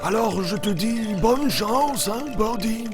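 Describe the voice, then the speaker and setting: A man's voice: sits high, takes it slowly, loud music behind the voice. A man playing a jovial, blunt animal mail carrier in a children's cartoon, with hearty exclamations. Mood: dismayed, blunt.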